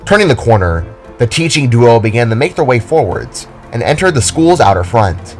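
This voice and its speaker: A man's voice: mid-range voice, big pitch swings, normal speed, a little mellow. A man reading scripted story narration as the narrator of an audio drama, with music behind him. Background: background music.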